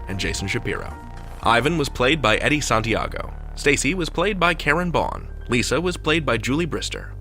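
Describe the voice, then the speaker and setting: A man's voice: mid-range voice, bright, forceful tone. A man hosting a podcast in a chatty, comic style, playful and conversational. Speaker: podcast host.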